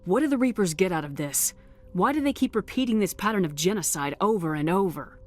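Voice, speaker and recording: Woman playing a resolute commander, mid-pitched voice. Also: expressive, a little fast, soft background music, close to an everyday voice. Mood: probing, determined. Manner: direct, serious questioning. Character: resolute female military commander, probing and direct. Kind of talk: scripted video game dialogue.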